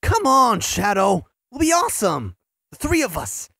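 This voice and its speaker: A woman voicing an upbeat, excitable young male hedgehog hero in a fan audio drama, with a husky voice. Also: mid-range voice, measured pace, dry recording, studio quality, exaggerated voice. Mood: eager. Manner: excitable, bouncy, eager.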